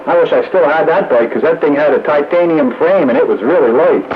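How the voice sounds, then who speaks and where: A man's voice: on the high side, a little fast, over a noisy crowd. An older man in casual conversation over a radio channel. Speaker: radio roundup participant. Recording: muffled lo-fi radio with static.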